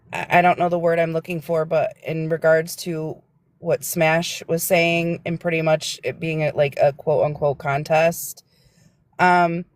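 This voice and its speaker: Low-voiced, earnest lecturing woman. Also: no echo. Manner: earnest, lecturing, searching for words. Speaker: livestream guest. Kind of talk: casual livestream chat.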